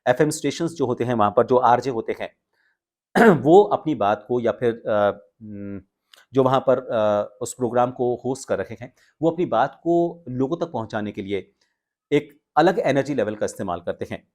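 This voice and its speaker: A man giving an instructional talk to viewers as a voice coach, explaining with pauses and stress on key words. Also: mid-range voice, a little mellow. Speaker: voice coach and video presenter.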